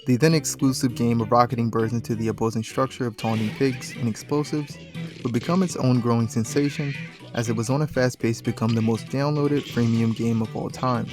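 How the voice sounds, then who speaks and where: A man's voice: mid-range voice, a little fast. A man reading scripted video essay narration in the manner of a documentary. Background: background music.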